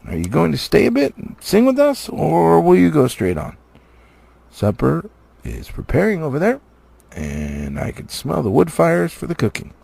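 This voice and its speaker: A man's voice: a little high, wildly swooping pitch, moderate pace, creaky, darkish tone, breathy edge, dry recording, pitched above the performer's own voice, heavily acted. A man voicing merry, teasing woodland elves from a storybook in a playful, singsong way. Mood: friendly, welcoming. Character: merry, teasing, singing male elves of the woods. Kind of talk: storybook character voice.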